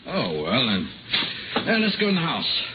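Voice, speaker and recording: Kindly small-town reverend, folksy and very animated, on a dull, noisy old radio recording. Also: male voice, medium pitch, normal speed. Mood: concerned, kindly. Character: amiable male reverend, relaxed, solicitous old friend. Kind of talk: 1950s radio drama acting.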